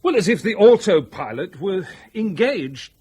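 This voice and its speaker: An actor playing an earnest, stubborn airline captain with an intense, conversational manner in a radio drama. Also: male voice, sits high, sing-song, measured pace, darkish tone, husky, heavily acted. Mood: puzzled.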